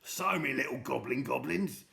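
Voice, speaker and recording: A man voicing Mister Gum, a grumpy, boastful old bully in a storybook, talking gruffly and ungrammatically. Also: mid-range voice, normal speed, sharp tone.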